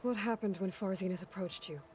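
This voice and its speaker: A woman's voice: mid-range voice, a little fast, darkish tone, a little hiss or hum, close to an everyday voice. An actress voicing a blunt, questioning young woman hunter in a video game cutscene, asking direct, probing questions. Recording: game cutscene with music underneath.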